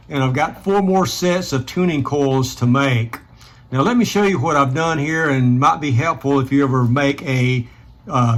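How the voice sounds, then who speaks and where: A man hosting a casual hands-on DIY tutorial, explaining step by step in an unhurried way. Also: medium pitch. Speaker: DIY hobbyist host.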